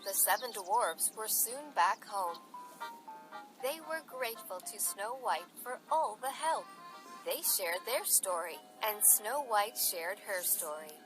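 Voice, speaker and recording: A woman's voice: mid-range voice, animated, takes it slowly, poor audio. A woman storyteller reading a scripted bedtime story for children. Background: music bed.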